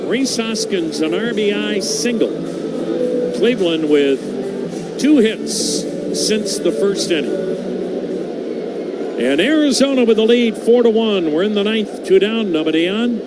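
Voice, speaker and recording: A man's voice: sits high, measured pace, long pauses, over loud music. An American man calling live baseball play-by-play as a radio announcer. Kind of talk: live radio sports commentary. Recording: very noisy broadcast, steady background hum.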